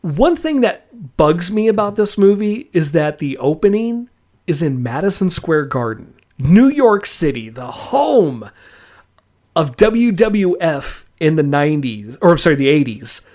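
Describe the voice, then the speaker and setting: Podcast host, male, relaxed rambling chat, animated pitch, easygoing slow delivery, quick to laugh. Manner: enthusiastic, rambling, laughs often.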